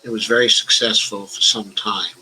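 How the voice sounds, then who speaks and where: Bright-voiced older man hosting a live chat. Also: lowish voice, steady tone, moderate pace, a little hiss or hum, poor audio. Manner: talks about his own stutter, some restarts. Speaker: host of a political discussion show. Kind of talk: casual live-stream chat, reading out viewer comments.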